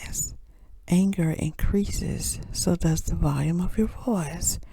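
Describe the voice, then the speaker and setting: A woman who runs a soft-spoken ASMR channel, teaching in a gentle whisper and repeating key phrases slowly. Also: on the low side, slight background noise.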